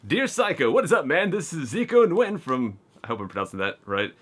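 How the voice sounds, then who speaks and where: Chatty man with a lively voice. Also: fairly high pitch, brisk. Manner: chatty and excitable, reading letters aloud with frequent restarts. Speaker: YouTuber opening fan mail. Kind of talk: casual mail-opening vlog.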